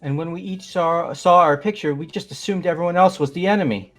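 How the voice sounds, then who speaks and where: Man voicing a wary, high-voiced young guy. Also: fairly quick, lightly acted. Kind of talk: amateur game dub.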